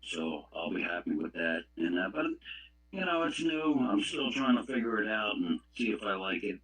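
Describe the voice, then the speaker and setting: A man with a low, husky voice takes part in a radio club net. He rambles along in a casual, folksy style. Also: expressive, moderate pace, a bit bright, low-quality recording. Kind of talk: casual chat over a walkie-talkie app.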